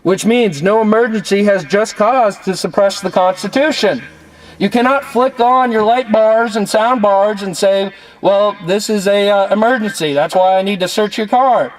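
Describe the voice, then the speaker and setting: A man's voice: sits high, moderate pace, a little hiss or hum, low-quality recording. An American man making a declamatory, legalistic protest speech through a megaphone from a parked car. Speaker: activist vlogger. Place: parked car at night outside a city building.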